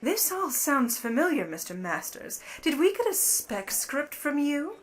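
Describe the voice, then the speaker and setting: Woman playing lively, arch Hollywood starlet. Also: high voice, a little slow. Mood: arch, amused. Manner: arch, unflappable, teasing. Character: poised, mischievous Hollywood starlet and secret burglar. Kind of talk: 1950s radio play acting.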